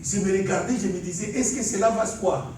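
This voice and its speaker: A man's voice: high-pitched, fairly quick, darkish tone, a little hiss or hum. A man from Congo preaching a sermon and telling his testimony, calling on the congregation to say amen. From Congo (DRC). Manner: calls for amens, laughs while preaching. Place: large church hall. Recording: echoey hall from far off.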